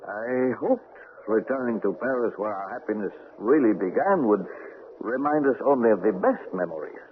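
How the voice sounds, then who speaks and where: An actor playing a weary, devoted middle-aged husband in a vintage radio drama, earnest and restrained. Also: male voice, medium pitch, lively intonation, moderate pace, natural voice. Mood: weary, patient. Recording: tinny, noisy old radio recording.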